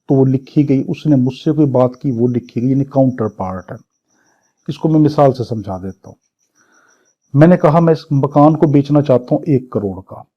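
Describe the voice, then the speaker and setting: A man's voice: medium pitch, muffled recording. A man with a Pakistani accent lecturing for a law exam, reading clauses and explaining them in a didactic, repetitive, question-and-answer way.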